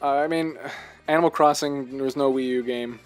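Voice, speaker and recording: A man co-hosting a casual video game discussion, talking in an animated, rambling way and repeating himself for emphasis. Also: mid-range voice, a little fast, soft background music. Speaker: podcast co-host.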